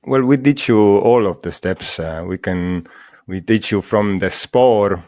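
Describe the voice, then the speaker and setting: A man from Estonia, a guest in an interview, speaking English in a halting way with many fillers and restarts. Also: on the low side, mellow, no echo, studio quality. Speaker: guest, mushroom school founder. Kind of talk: casual interview talk.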